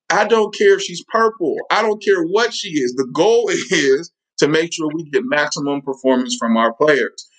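A man's voice: on the high side, fairly bright tone, low-quality recording. A man, a football coach, talking earnestly and emphatically in an interview. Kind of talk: casual interview chat.

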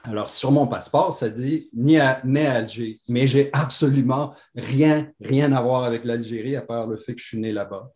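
Middle-aged guy, french-moroccan accent w/ bit of quebec, rambly storyteller, mid-pitched, echoey room. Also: moderate pace, few pauses. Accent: mixed French, pied-noir and Moroccan with a Quebec tinge. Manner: rambling, many restarts and fillers, warm and animated. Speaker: guest. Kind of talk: conversational storytelling. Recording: roomy echo.

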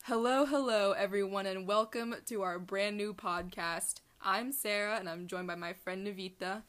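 Young American woman, monotone casual chat. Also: mid-range voice. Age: eighteen. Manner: monotone, self-deprecating. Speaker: podcast co-host.

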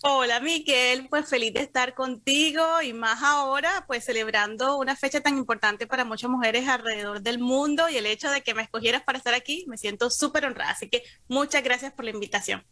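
A middle-aged Colombian woman speaks as an interview guest, sharing personal stories in a conversational way. Her voice sits a little high and has a very bright, clear edge. The sound is quite dry, with almost no room to it. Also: a little fast, hardly any pauses, no echo. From Cartagena, Colombia. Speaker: interview guest, communications professional.

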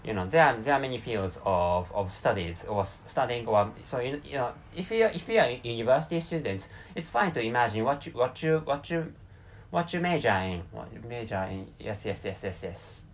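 A man with a Japanese accent hosts a solo talk in English, his second language. He talks in a halting way, full of restarts and repeated words. His pitch swings up and down a lot, and his voice sits in a middle range. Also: lively intonation, slight background noise. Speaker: podcast host.

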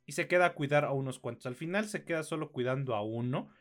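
A man's voice: fairly high pitch, fast, soft background music. A man with a Mexican accent reviewing films as a podcast co-host, informal and slangy, thinking aloud as he goes. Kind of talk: conversational film review.

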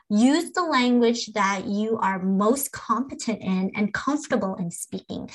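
A woman's voice: medium pitch, poor audio. A woman co-hosting a parenting podcast as a speech-language pathologist, explaining patiently with fillers and easy laughter. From Philippines. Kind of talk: casual advice chat.

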